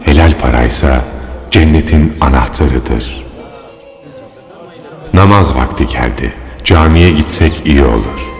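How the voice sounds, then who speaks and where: An actor playing a revered holy man in a religious cartoon, calm, grave and kindly, speaking in a measured way. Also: rumbling low, heavily acted. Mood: solemn advice. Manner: solemn, measured. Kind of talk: dubbed religious cartoon acting. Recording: long reverb, music behind.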